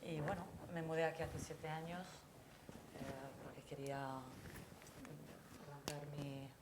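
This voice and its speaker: A woman chef in a casual panel chat, speaking hesitantly with many fillers and restarts. Her voice sits very low for a woman. Clear background noise runs behind her. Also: steady tone, measured pace. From Abu Dhabi, of Palestinian-Syrian descent.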